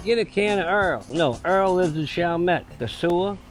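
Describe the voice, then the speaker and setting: A man with a slightly high voice jokes easily in a documentary interview. Faint music sits in the background. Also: expressive, moderate pace.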